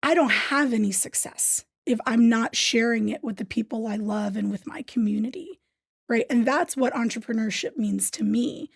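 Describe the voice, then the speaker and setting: Earnest woman, gravelly edge. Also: on the high side, moderate pace, no echo, clean studio sound. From Thailand. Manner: earnest, says "right?" often. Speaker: interview guest, business owner. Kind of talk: casual interview talk.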